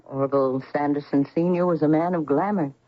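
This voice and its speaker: A low, genteel older woman's voice, an actress playing a nostalgic mother in a classic radio play. She talks in refined, theatrical phrases, and the recording is dull and lo-fi. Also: fairly even intonation, fairly quick, close to an everyday voice. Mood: earnest. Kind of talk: old-time radio drama acting.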